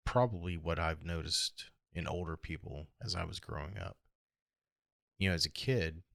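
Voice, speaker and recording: Middle aged american guy doing a solo podcast, hoarse and gravelly, kinda rambling, very dry close sound. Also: sits low, a bit bright, dry recording. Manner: reflective, halting, rambling. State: hoarse from a lot of talking. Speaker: podcast host. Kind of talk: casual solo podcast monologue.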